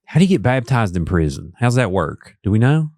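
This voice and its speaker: A man's voice: lowish voice, big pitch swings, brisk, a little mellow, no room sound. A man hosting a podcast, asking questions in a friendly, joking, casual way. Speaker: podcast host.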